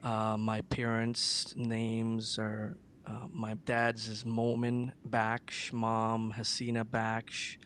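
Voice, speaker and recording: A middle-aged man from Guyana, a singer talking about himself as a guest in a casual interview, halting with many ums and uhs. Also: on the low side, monotone, fairly bright tone, a little hiss or hum.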